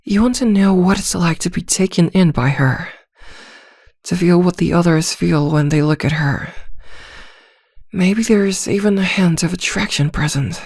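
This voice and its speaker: A composed, attentive therapist played by a woman speaks in a slightly low, soft voice for an ASMR roleplay. She is measured and reassuring, probing gently with small hesitations. The sound is very dry, with no room around it. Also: husky, no echo.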